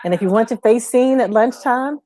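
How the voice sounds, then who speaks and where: A woman's voice: a little high, fairly quick, dark tone, no room sound. A woman hosting a music show in a casual, conversational chat style.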